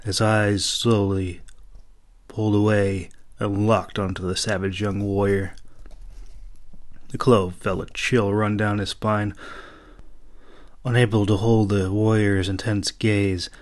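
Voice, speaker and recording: A man reads an epic fantasy story aloud. His pitch sits a little low and his delivery is very slow. The storytelling is deliberate and dramatic. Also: little pitch movement. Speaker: author reading own audiobook. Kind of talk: dramatic scripted fantasy narration.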